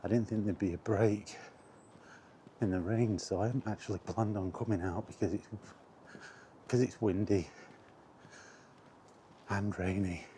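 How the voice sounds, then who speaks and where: A man's voice: fairly low pitch, fairly even intonation, a little slow, long pauses, warm tone. A man chatting casually to camera while out walking, in a rambling, self-deprecating way. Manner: rambling, self-deprecating, mild exasperated swearing. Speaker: vlogger. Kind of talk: casual walking vlog chat. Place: outdoors on a path in windy weather. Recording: outdoor camera audio with background noise. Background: outdoor ambience, wind.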